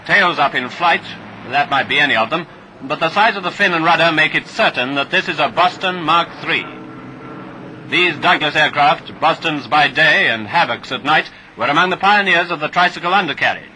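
A man's voice: a little high, lively intonation, normal speed, sharp tone, a little hiss or hum, low-quality recording. A British man narrating a scripted 1940s wartime training film in a jaunty, wry, clipped manner, on a vintage soundtrack.

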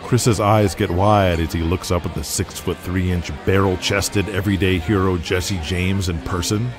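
A low-voiced American man narrates a scripted audio drama. His delivery is dramatic storytelling, and music plays behind him. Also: steady tone, a little slow.

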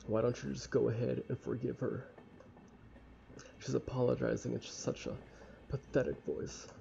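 A young man with a casual, halting, sweary manner reading a visual novel aloud and commenting as he plays. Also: mid-range voice, flat delivery, gravelly, dark tone. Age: young adult. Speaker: let's play streamer. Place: small room at home. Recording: dull lo-fi mic with faint noise.

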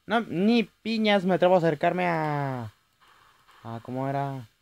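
A young man with a Chilean accent commentating a horror game, yelling at jump scares and rambling between them. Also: a little high, animated, a little slow, gravelly, smooth, no room sound. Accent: Chilean Spanish. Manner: jumpy, shouting at scares, rambling asides. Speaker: gaming youtuber. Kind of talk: let's play commentary.

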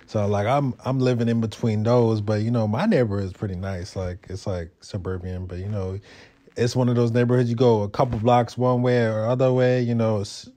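A young man tells a story in a vlog. His voice sits fairly low and has a dark, mellow tone. He talks casually and loosely, in laid-back street slang. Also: steady tone, a little fast. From Brooklyn, New York. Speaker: motovlogger.

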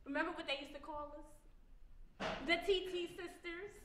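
A woman's voice: very high-pitched, fairly even intonation, moderate pace, recorded from a distance. A young woman playing a blunt, boastful, sassy sister in a stage play. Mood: teasing. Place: indoor theater stage living-room set. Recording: noisy, echoey live theater stage.

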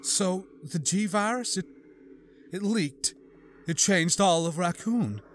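Guy doing a shaky young woman begging, high squeaky stutter. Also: takes it slowly, a little hiss or hum. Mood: hesitant. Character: pleading, frightened young woman spy.

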